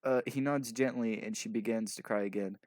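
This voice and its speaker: A man's voice: lowish voice, fairly even intonation, brisk, a little mellow, no echo. A man reading a story aloud casually, stumbling over sentences as he narrates. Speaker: fanfiction reader.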